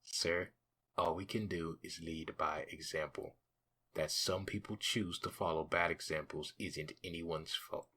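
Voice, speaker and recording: An actor playing a calm, dutiful male police officer in a comic dub, husky, measured, polite and formal. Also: sits low, heavily acted. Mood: calm, earnest.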